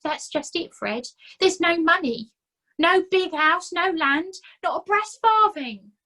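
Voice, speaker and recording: A woman's voice: extremely high pitch, animated, takes it slowly, sharp tone, voice pushed up, exaggerated voice. A woman voicing a scrawny, put-upon male corporal who whines and pities himself. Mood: woeful. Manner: whiny and self-pitying.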